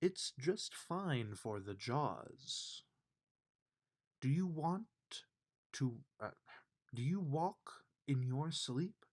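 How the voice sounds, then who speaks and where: A man reading a rhyming children's bedtime book aloud in an expressive, sing-song way. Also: mid-range voice, very slow.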